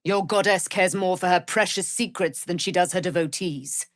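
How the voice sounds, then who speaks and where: An actress playing a proud, wrathful immortal warrior, daughter of a moon goddess, declaiming in grand archaic speech for a fantasy game. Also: female voice, on the low side, normal speed, no room sound, studio quality, lightly acted. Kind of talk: theatrical fantasy game voice acting.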